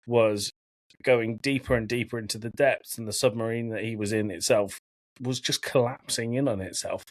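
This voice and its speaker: A man's voice: mid-range voice, no echo. A man hosting a podcast, talking casually and giving his opinions in a conversational way. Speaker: podcast host. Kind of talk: casual discussion of a film.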